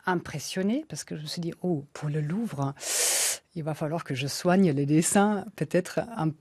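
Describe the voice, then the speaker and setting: Woman guest, non-native speaker, low voice, halting and thoughtful, dry studio sound. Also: a little mellow, slightly rough, dry recording. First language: not French. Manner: hesitant, many fillers and restarts. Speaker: author and illustrator guest. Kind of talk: radio interview, reflective.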